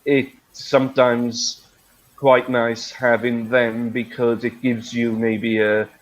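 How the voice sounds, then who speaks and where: Slow-talking middle-aged man teaching online. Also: mid-range voice, little pitch movement, lo-fi sound. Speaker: English teacher, webinar host. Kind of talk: conversational online lesson.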